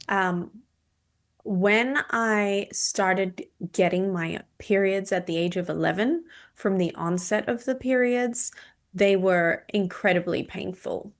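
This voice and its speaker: A woman in her thirties, a nutritionist and naturopath from Indonesia, talking through her own health history in a podcast interview, steady and measured with frequent ums. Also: on the low side. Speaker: guest, clinical nutritionist and naturopath. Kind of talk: podcast interview, personal story.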